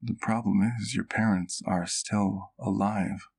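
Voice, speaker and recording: A man playing a gentle, protective vampire doctor in an ASMR roleplay, speaking in a soft, measured, careful way. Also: on the low side, fairly even intonation, gravelly. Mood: concerned, troubled.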